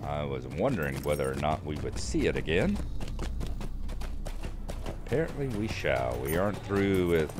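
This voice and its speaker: Super deep guy with a cold, voice going hoarse, chatty let's play host reading quest text, faint game music under. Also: normal speed, pauses often. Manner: relaxed, chatty, self-deprecating. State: hoarse from a cold, losing his voice. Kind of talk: casual gaming commentary, reading quest text aloud.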